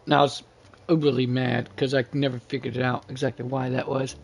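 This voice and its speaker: A man's voice: medium pitch, level tone, fairly quick, vocal fry, a little hiss or hum. A man chatting casually and rambling a little as he hosts a live game stream. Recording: music playing behind the voice. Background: music.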